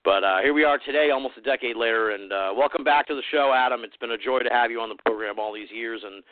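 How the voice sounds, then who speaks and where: A man's voice: medium pitch, quick pace, no room sound. A man hosting a radio show, talking loosely with lots of fillers. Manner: loose, rambling, many fillers. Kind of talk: casual radio interview. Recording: dry tinny phone-like sound.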